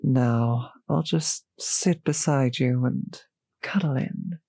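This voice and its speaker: Slow, genteel female vampire, acted by a woman. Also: very deep voice, gravelly, mellow, no room sound, exaggerated voice. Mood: flirtatious, tender. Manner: polite, formal, old-fashioned phrasing, solicitous. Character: courteous, genteel female vampire, gently seductive host. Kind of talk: intimate audio roleplay addressed to the listener.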